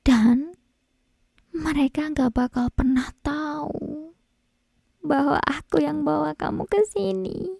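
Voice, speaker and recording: A woman playing an obsessive, possessive yandere young woman in an ASMR roleplay, whispering slowly and intimately with a breathy, husky voice close to the microphone. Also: high voice, fairly even intonation, no echo, full character voice. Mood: gloating, teasing. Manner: slow, intimate, drawn-out phrasing with soft giggles. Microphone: close binaural ASMR microphone.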